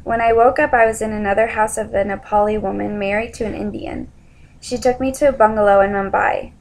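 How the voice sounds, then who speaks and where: A young adult woman giving an informal spoken presentation in a somewhat hesitant, halting way. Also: medium pitch, fairly even intonation, vocal fry, rough recording.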